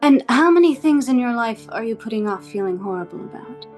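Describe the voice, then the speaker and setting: A woman acting as an eerily composed, menacing interrogator in a script table read, speaking formally and deliberately. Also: a little high, animated, darkish tone, natural voice. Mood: coolly probing. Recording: music playing behind the voice.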